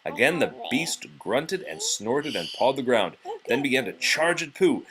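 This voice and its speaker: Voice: mid-range voice, very animated, measured pace, crowd noise, rough recording. A parent reading a storybook aloud to a child and chatting about it along the way.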